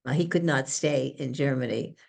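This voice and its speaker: A woman host interviewing a guest, with a low voice and a gravelly, creaky edge. She asks probing questions and affirms the answers as she goes. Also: moderate pace, darkish tone.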